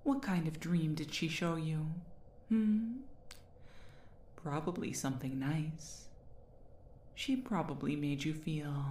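Female performer as demon queen for ASMR roleplay: slow, mellow, imperious, teasingly menacing. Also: sits low, echoey room. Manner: imperious, condescending, theatrical, drawn-out.

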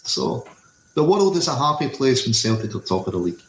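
A man's voice: mid-range voice, very animated, brisk. Rambling Scottish man in an echoey room. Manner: rambling, repeats words, many you-knows. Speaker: podcast co-host. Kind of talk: casual football podcast chat. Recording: echoey room with faint ambience.

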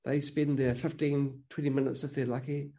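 A man's voice: mid-range voice, little pitch movement, normal speed, creaky, warm tone. A man speaking as an interview guest in a casual conversation, earnest and opinionated, often restarting his sentences.

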